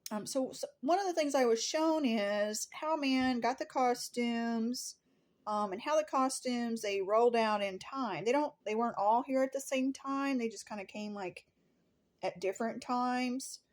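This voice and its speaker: A woman's voice: a little high, a little slow, a little mellow. A woman talking casually and earnestly to her viewers as a spiritual vlogger, stating things declaratively and repeating phrases for emphasis. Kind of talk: casual spoken monologue to viewers.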